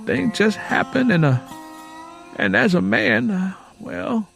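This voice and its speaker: Audiobook role, male narrator: resigned older man, high voice, folksy halting delivery, sad and fatherly. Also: wildly swooping pitch, creaky, a bit bright, faint music behind, full character voice, low-quality recording. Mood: resigned, pleading. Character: resigned, sorrowful older man advising a youngster. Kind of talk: audiobook character acting.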